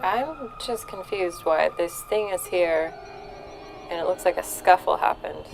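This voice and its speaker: Creaky, lower-voiced woman at game table. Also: animated, measured pace, soft background music, poor audio. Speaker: tabletop roleplaying player. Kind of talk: casual game chat.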